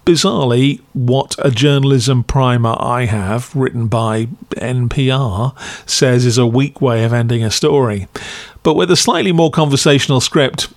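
Male podcast critic, medium pitch, dry wit, scripted narration, very dry studio sound. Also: dry recording. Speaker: podcast reviewer and host.

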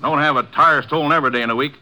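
A man's voice: fairly high pitch, racing, pushed and bright, low-quality recording. An actor in a 1950s radio drama playing an indignant, folksy working man who blusters in plain rustic grammar, on an old radio recording.

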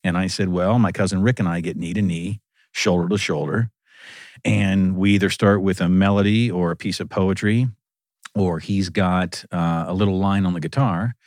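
Low-pitched man, lively host, dry close sound. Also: normal speed, dry recording. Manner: animated, laughs often, repeats "right". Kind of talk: casual conversation.

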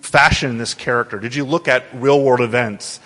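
A man's voice: mid-range voice, steady tone, normal speed, sharp tone. A man conducting a conversational interview, talking as the interviewer to a guest. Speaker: interviewer from a museum. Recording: echoey hall with long reverb.

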